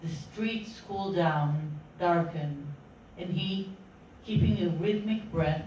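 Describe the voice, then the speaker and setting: A woman reads poetry in short, deliberate phrases at a slow pace. She sounds far from the microphone, in a very echoey room. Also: lowish voice, dark tone, muffled recording, slight background noise. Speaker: poetry reader. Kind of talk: poetry reading. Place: indoor literary meeting room.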